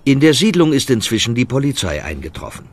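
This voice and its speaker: A man narrating a scripted children's audio story with dramatic, lively delivery. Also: medium pitch, fast. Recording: music behind the voice.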